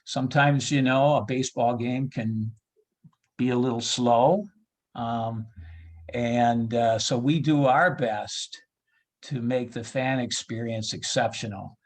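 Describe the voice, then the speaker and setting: Halting male announcer in casual chat. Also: medium pitch, fairly even intonation. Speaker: baseball PA announcer being interviewed.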